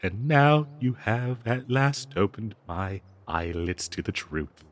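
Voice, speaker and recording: A man voicing a bloodthirsty, doting cursed dagger in an audio roleplay, with theatrical, halting, gushing talk full of endearments. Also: fairly low pitch, animated. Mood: devoted, sheepish. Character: obsessive cursed talking dagger, bloodthirsty, doting, giddy, fawning.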